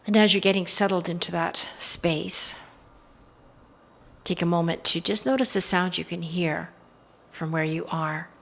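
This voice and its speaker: Low-voiced woman guiding meditation, gravelly fry. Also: fairly even intonation, unhurried. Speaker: guided meditation guide. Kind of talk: guided meditation, hypnotic induction.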